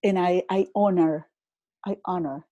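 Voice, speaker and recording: A woman with a Venezuelan Spanish accent, a native Spanish speaker, chatting as a guest business coach in a podcast interview. She speaks in a slow, reflective way, with many fillers and repeated words. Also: fairly low pitch, fairly even intonation, mellow, muffled recording, a little hiss or hum. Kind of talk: casual interview conversation.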